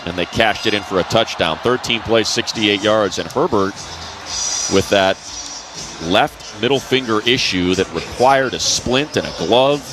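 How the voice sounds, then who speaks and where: A man's voice: lowish voice, measured pace, music in the background. A man with an American accent doing live play-by-play commentary of American football on the radio. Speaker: play-by-play sports announcer.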